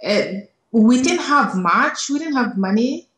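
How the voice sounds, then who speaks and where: A woman's voice: fairly high pitch, lively intonation, a little slow, a bit bright. Kenyan woman in a roomy video call. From Kenya, grew up in Nairobi. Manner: reflective, emphatic repetition. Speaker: interview guest. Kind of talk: personal storytelling in an interview. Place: small indoor room on a video call. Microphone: earphone headset.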